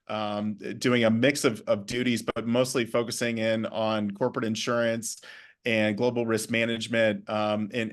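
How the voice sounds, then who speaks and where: A man speaking as a guest in a class talk, pausing often with ums and restarting words. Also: medium pitch. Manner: hesitant, many ums and restarts. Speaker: guest speaker, bank vice president.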